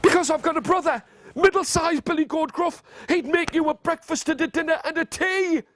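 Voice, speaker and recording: A man's voice: extremely high pitch, fairly quick, a bit bright, dry recording, studio quality, voice pushed up. A man voicing a small, timid young billy goat in a children's story, pleading fearfully. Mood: frightened pleading. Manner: stammering, frightened, pleading.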